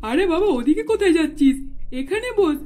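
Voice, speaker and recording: A middle-aged cartoon mother-in-law, played by a woman, high-voiced but soft-edged and mellow. She coaxes and instructs like a doting, scheming mother. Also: animated, brisk. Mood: coaxing. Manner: coaxing, instructing.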